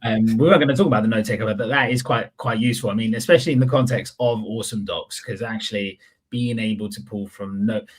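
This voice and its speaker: A man with a deep and distinctly husky voice hosts a podcast. He chats casually and gives opinions in an informal way, circling back to reword his points. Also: fast talker. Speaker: podcast host. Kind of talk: casual chat.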